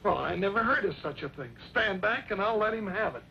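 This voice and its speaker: An actor playing a folksy, eccentric older judge in an old western radio drama, creaky and a little pompous. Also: male voice, lively intonation, fairly quick. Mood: blustering. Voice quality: creaky, high-pitched. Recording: dull, hissy old radio recording.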